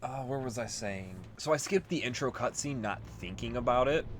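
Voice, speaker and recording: A man's voice: mid-range voice, normal speed, a little hiss or hum. A man giving casual, informal, sweary video game commentary as a let's play host. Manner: profane, informal.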